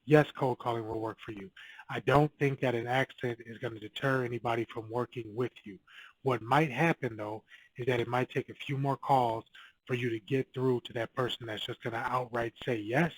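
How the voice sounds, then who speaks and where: A man's voice: medium pitch, fairly even intonation, moderate pace, rough recording. A man talking straight to camera, giving direct coaching advice on cold calling and repeating his key points. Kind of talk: casual advice video to camera.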